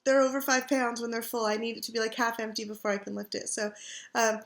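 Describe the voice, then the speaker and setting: Middle-aged woman vlogger, raspy sore throat, slightly high pitch, loose rambling delivery, home webcam sound. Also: level tone, fast talker. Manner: rambling, chatty, frequent ums and throat clearing. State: scratchy irritated throat. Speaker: craft vlogger. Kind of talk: casual chat to webcam. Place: living room couch at home. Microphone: computer webcam.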